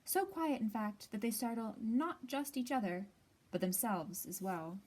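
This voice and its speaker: A woman reads a children's picture book aloud as a storytime host. Her delivery is expressive and deliberate, with acted-out phrases and dramatic pauses. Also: on the high side.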